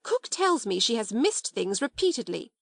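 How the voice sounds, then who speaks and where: A woman's voice: fairly high pitch, big pitch swings, normal speed, creaky, no room sound, studio quality, exaggerated voice. A woman voicing an angry, harsh middle-aged headmistress who scolds sharply. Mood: scolding.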